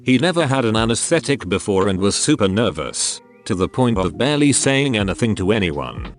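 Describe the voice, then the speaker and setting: A man's voice: on the low side, sing-song, brisk. A man reading out forum stories as narration over background music. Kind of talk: read-aloud narration.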